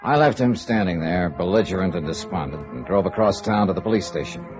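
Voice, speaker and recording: An actor playing a cool, world-weary male insurance investigator in 1950s radio noir style, with a dry, probing, measured delivery. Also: sits low, expressive. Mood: weary, brooding. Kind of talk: 1950s radio noir acting and first-person narration. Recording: dull vintage radio recording with music.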